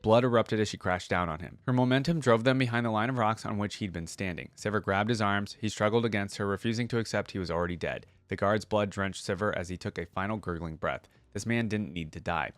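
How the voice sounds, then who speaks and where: A man's voice: lowish voice, fast, hardly any pauses, no room sound. A man hosting a reaction video, reading stories aloud and commenting in a laid-back, colloquial fan style. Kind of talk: casual commentary and story reading.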